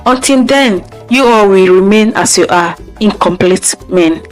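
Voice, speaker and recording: A woman's voice: medium pitch, measured pace, soft background music, close to an everyday voice. A woman voicing an authoritative male village juju priest in a folktale, proclaiming solemnly.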